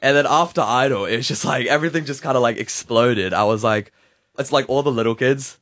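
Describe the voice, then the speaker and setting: A man's voice: mid-range voice, fairly quick, a bit bright, no room sound, studio quality. A seventeen-year-old young man from New Zealand chatting casually as a radio guest, in informal, slangy speech. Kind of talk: casual chat.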